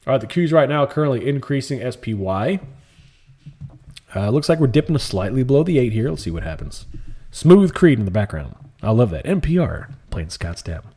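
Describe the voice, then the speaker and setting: A man hosting a live stream, chatting casually and calmly while answering viewer comments in a soft, laid-back way. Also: mid-range voice, normal speed, breathy edge. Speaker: livestream trading host.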